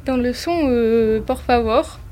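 A woman's voice: on the high side, little pitch movement, slow pace, dark tone. A young woman interviewing a musician in a casual, playful way.

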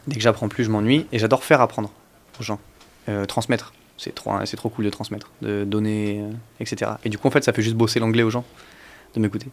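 Young male guest, low register, slangy, rambly. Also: fairly even intonation, fast. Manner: rambling, slangy, full of fillers and restarts. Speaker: musician guest. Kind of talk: casual interview chat.

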